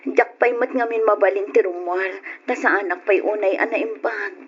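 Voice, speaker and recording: Actress as tender, worried mother in an old radio serial, frail from illness, breathy husky voice, dull lo-fi sound. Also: medium pitch, steady tone, normal speed, close to an everyday voice. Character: caring, anxious mother and wife, weak from illness. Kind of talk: old Ilocano radio drama acting.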